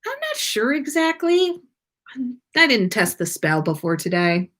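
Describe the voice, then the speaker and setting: A woman's voice: on the high side, wildly swooping pitch, unhurried, fairly bright tone, exaggerated voice. A woman voicing a smitten, good-humoured young wizard man in a story, easygoing and slightly awkward. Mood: sheepish.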